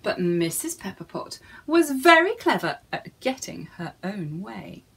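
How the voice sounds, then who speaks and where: A woman's voice: medium pitch, very animated, unhurried, vocal fry, a bit bright, slightly rough. A woman reading a storybook to young children in a theatrical way, addressing her listeners directly.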